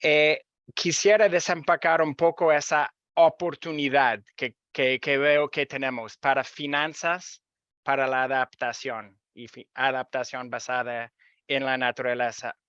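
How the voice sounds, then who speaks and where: A man with a Canadian accent speaking as a webinar panelist, animated and emphatic, often searching for words. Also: medium pitch, unhurried, clean tone, no echo, lo-fi sound.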